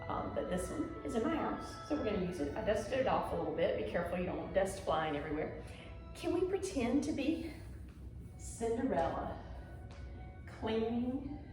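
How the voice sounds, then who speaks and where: A very echoey room surrounds this woman, a dance teacher with a medium-pitched voice and music behind her. She explains things simply, one step at a time, and gives short encouraging prompts as she demonstrates. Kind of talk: instructional video lesson, demonstrating to pupils. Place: indoor room at home.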